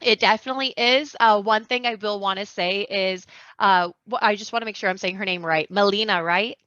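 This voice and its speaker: A woman's voice: mid-range voice, brisk, sharp tone, no echo. A woman guest chatting casually on a podcast, informal, slangy and self-deprecating. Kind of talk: casual pop-culture podcast chat. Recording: dull, lo-fi, dry microphone sound.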